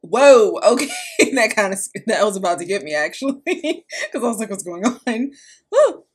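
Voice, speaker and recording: A woman's voice: mid-range voice, very animated, normal speed, husky. A young adult woman giving casual commentary as a reaction video host. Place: small room indoors. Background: background music.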